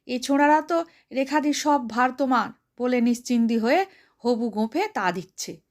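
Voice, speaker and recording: A woman storyteller voicing a bossy, sharp-tongued older woman who scolds in brash colloquial speech. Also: sits high, normal speed, lightly acted. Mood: impatient, commanding.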